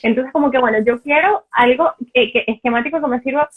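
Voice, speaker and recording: A woman hosting a casual video call interview, relaxed and hesitant, with many fillers. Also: high-pitched, fast talker, slightly rough, phone-quality sound. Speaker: host. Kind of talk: casual live video chat.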